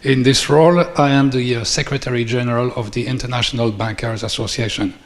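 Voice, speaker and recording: A middle-aged man from Belgium with a Belgian accent, giving a formal closing speech at a conference in measured, orderly phrases. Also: medium pitch, fairly even intonation. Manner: measured, formal delivery with listed points. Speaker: conference speaker. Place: large conference hall. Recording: long reverb of a conference hall.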